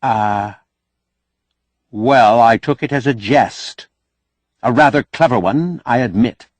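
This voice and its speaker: A man's voice: medium pitch, slow pace, no room sound, voice pushed up. A man voicing a younger, officious protocol official, polite and hesitant, in an audiobook novel. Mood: taken aback. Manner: polite, apologetic, hesitant, fussy.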